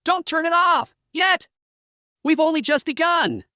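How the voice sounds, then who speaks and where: Animated amateur weather presenter, very close dry sound. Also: very high voice, unhurried, no room sound, faint music behind. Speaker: amateur weather presenter in a fictional emergency scenario. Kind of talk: improvised mock breaking-news commentary.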